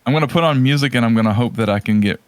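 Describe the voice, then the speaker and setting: A man co-hosting a podcast, chatting casually about video games in a halting, rambling way. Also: medium pitch, dry recording. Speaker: podcast co-host.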